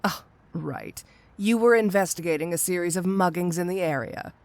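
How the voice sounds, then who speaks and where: A woman's voice: on the low side, moderate pace, close to an everyday voice. A woman playing a flirty, dangerous cat burglar supervillain in an audio roleplay, teasing and sardonic with amused asides. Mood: suspicious, challenging. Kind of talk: scripted audio roleplay acting addressed to the listener.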